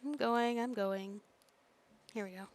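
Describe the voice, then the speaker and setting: A woman's voice: fairly high pitch, steady tone, a little slow. A woman streaming a video game, muttering casual commentary to herself as she plays.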